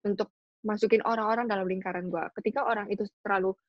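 Breathy young woman. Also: medium pitch, fairly even intonation, fast talker, no room sound. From Indonesia. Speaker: guest. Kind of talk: casual podcast chat. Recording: dull dry recording.

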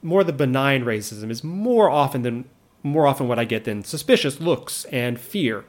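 A man in his thirties speaks in a measured, earnest way. His pitch and loudness sit mid-range for a man. He talks reflectively about his own life, often restarting a phrase or adding an aside. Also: expressive. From United States. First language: English. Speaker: vlogger living abroad. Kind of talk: reflective personal monologue.

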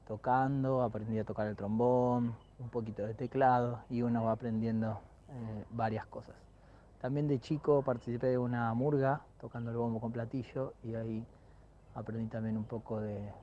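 A reflective man in his thirties, talking calmly about his work as a music teacher. His voice is mellow and soft-edged, and he often repeats a word as he gathers his thoughts. The recording is dull and lo-fi, with a faint hiss behind him. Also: medium pitch. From Argentina. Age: 30s. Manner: calm, reflective, repeats words as he thinks. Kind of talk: recorded interview answers.

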